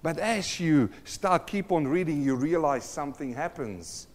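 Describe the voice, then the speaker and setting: Earnest pastor. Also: male voice, a little high, animated, a little slow, in a large hall. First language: Afrikaans. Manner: earnest, addresses listeners as friends, asks rhetorical questions. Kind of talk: sermon.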